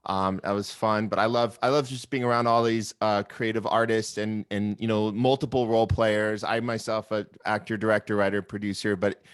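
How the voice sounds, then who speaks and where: A man with a fairly low voice speaks casually about himself on a video call. His phrasing is hesitant, starting and stopping as he goes. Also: level tone, moderate pace, hardly any pauses, clear, resonant tone. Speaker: actor and filmmaker. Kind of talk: casual self-introduction.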